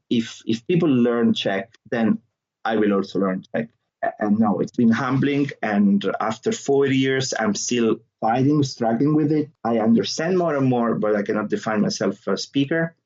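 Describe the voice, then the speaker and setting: Husky Italian man, lively and laughing. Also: medium pitch, normal speed. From southern Italy. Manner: animated, laughs often, restarts words. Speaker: interview guest, comedian. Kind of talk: conversational interview. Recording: dull lo-fi audio.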